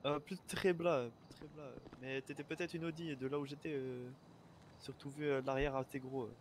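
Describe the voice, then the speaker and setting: Un homme qui joue un policier dans un jeu de rôle en ligne, au parler familier, hésitant et répétitif. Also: male voice, fairly high pitch, little pitch movement, moderate pace. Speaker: roleplayer playing a police officer. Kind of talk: casual improvised game roleplay. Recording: noisy game audio with sirens.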